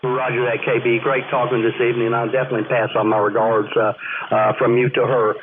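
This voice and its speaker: A man's voice: medium pitch, flat delivery, fast. Male ham radio caller heard through a thin, phone-like band with light noise; polite, easygoing, rambling conversational delivery. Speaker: amateur radio operator calling in. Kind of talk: casual on-air radio conversation. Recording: narrow-band noisy amateur radio link.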